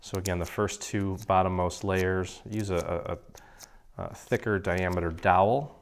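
A man with a slightly hoarse voice from a cold, walking through a paper craft project in a relaxed, chatty way. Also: sits low, unhurried. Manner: relaxed, chatty, clears his throat now and then. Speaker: craft tutorial host. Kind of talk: casual step-by-step crafting instruction. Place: indoor craft table.

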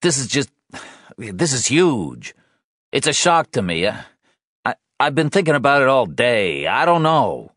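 A man's voice: mid-range voice, big pitch swings, measured pace, a bit bright, dry recording, pitched above the performer's own voice. A man reading an audiobook voices a tired, defensive husband close to his own natural voice, in a weary, clipped way. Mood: pleading.

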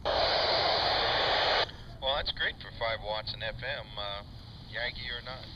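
A man's voice: fairly low pitch, takes it slowly. A man heard through a two-way radio, chatting casually in a folksy, hesitant way as an amateur operator. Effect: voice heard over two-way radio speaker. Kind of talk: casual ham radio chat. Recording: distant muffled radio speaker, heavy noise.

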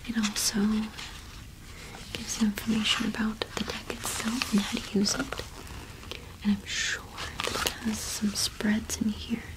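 Young woman acting as welcoming cottage witch, ASMR roleplay, whispered, even intonation, soft, reassuring. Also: fairly high pitch, close to an everyday voice, poor audio. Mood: gentle, explanatory. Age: young adult. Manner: soft, unhurried, gently reassuring. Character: kind, welcoming cottage witch shopkeeper serving a traveler. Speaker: ASMR performer.

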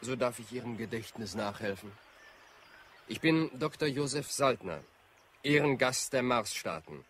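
An actor playing a proud, defiant and politely formal explorer in a radio drama. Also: male voice, mid-range voice, a bit bright, slight background noise.

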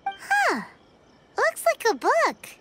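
A woman voicing a small, excitable, chatty fairy girl companion in a video game, squeaky and childlike. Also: very slow, very noisy, full character voice. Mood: excited, curious. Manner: refers to self in third person, exclaiming. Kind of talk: English video game voice acting. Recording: background music behind voice.

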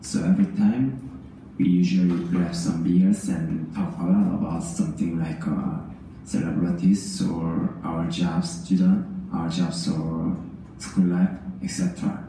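Slow halting man, deep and dark. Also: little pitch movement, a little hiss or hum. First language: Korean. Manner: slow, halting, with many fillers. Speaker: student answering an exam question. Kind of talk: recorded exam answer in English. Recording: roomy sample played over speakers, far.

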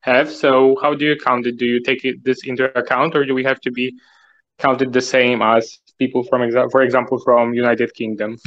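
A man's voice: mid-range voice, flat delivery, normal speed, fairly bright tone, muffled recording. A man from Poland with a Polish accent, speaking English as a second language, asking a careful question on a video call. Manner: careful, searching for words. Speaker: webinar attendee.

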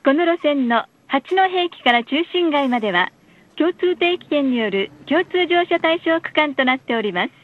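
A woman reading scripted bus stop announcements in a polite, formal, measured way. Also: high-pitched, lively intonation. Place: recorded announcement played inside a bus. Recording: muffled, lo-fi bus speaker recording.

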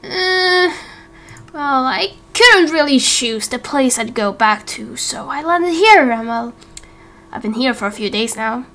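A woman voicing an uneasy young man turned angel in a game roleplay, hesitant and halting. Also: high voice, expressive, sharp tone, full character voice. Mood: awkward. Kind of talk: Minecraft roleplay voice acting.

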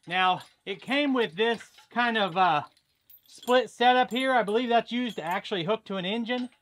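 A man's voice: sits high, moderate pace, fairly bright tone. A man in his thirties casually explaining a farm tool project outdoors, step by step, in a chatty vlog style. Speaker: farm vlogger. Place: outdoors at a farm, by a garage and a pond.

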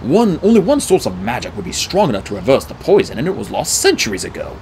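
Man voicing a measured, dignified middle-aged centaur mentor. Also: mid-range voice, wildly swooping pitch, a little mellow, a little hiss or hum, poor audio. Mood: somber. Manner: measured, formal, deliberate. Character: wise, kindly middle-aged male centaur teacher, grave and dignified. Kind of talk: audiobook character dialogue.

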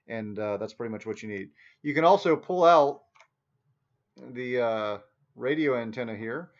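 A man in his forties giving a casual, folksy, unscripted product review to camera in a small room at home. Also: moderate pace. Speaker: product reviewer, video host. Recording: dull, muffled low-fi sound.

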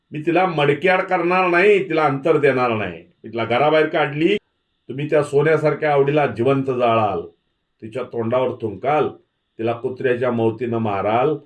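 A man voicing a defiant, hot-tempered rustic villager in a forceful, declamatory way for a dramatic story reading. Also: medium pitch, pitched above the performer's own voice, close to an everyday voice. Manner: forceful, declamatory rural speech. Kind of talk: dramatic audiobook character voice.

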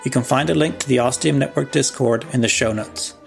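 A man's voice: medium pitch, fairly quick, vocal fry. A man hosting a podcast, reading a scripted show promo and credits. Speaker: podcast host. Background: music.

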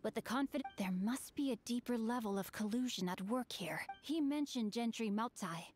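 Actress playing a bookish noble boy hero in a game, highish voice, formal n polite, music under it. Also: darkish tone, lightly acted. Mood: composed. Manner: formal, measured, courteous. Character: refined, bookish, chivalrous young swordsman boy. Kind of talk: video game character acting.

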